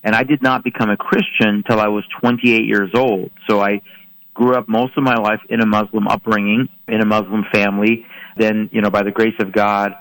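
Low-pitched man in his forties, interview guest. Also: little pitch movement, moderate pace, fairly bright tone, low-quality recording. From Iran. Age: 40s. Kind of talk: radio interview answers.

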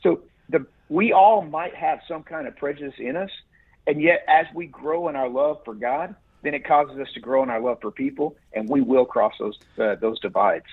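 A man's voice: a little high, normal speed, husky. A man who is a guest on the program, answering in a preacherly way with frequent restarts. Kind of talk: earnest interview answers. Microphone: phone. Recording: muffled phone line.

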